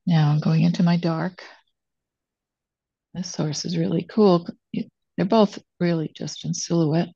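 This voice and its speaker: An older woman teaching watercolor, thinking aloud as she demonstrates and pausing between phrases while she works. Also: fairly low pitch, steady tone, creaky, mellow, slightly rough, no echo. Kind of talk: real-time demonstration narration, thinking aloud. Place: indoors at a painting table. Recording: dull, muffled lo-fi sound.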